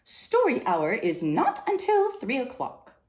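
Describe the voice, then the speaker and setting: A woman's voice: a little high, huge pitch swings, slow, darkish tone, pitched above the performer's own voice, heavily acted. A woman voicing a strict, rule-loving female head librarian from a storybook, in a stern, commanding manner. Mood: firm but fair. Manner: stern, commanding, clipped phrases. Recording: roomy, echoing room sound.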